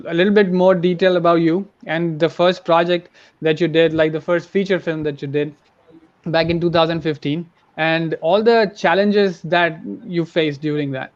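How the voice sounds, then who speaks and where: A man's voice: on the high side, steady tone, moderate pace. A man in his thirties hosting a conversational interview over a video call, using wired earphones. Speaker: interview host. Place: indoor room, remote video call. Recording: dull video-call audio.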